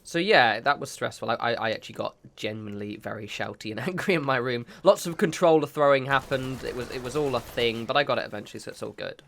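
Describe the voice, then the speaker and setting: A man at a middle pitch talks over his gameplay in a loose, rambling way, often stopping to correct himself. He sounds a bit sniffly from a cold. It is relaxed, casual commentary into a desk mic. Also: fast, vocal fry, few pauses. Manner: rambling, self-correcting, chatty asides. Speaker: gaming channel host.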